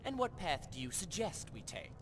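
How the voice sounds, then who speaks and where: Chatty gambler companion for game, male actor: higher voice, animated swoops, persuasive, rumbling noise behind. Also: moderate pace. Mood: questioning. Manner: casual, talkative, expressive. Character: chatty, confident male card-player adventurer, persuasive schemer. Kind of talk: scripted video game dialogue. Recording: clear rumbling background noise.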